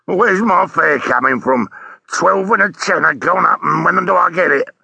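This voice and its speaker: Man acting a hoarse, irate cockney-slanging cabbie. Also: high voice, moderate pace, pushed and bright, dry recording, pitched above the performer's own voice, exaggerated voice. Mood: in a passion. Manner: slangy, grumbling, exasperated. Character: irate, foul-mouthed working-class taxi driver, male. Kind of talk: audiobook character voice.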